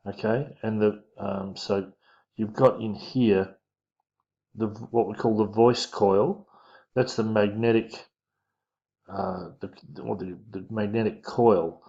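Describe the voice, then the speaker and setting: A man giving an informal physics lesson, talking through diagrams in a casual, halting way as he thinks aloud. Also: lowish voice, steady tone, mellow. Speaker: physics teacher. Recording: dull lo-fi microphone sound.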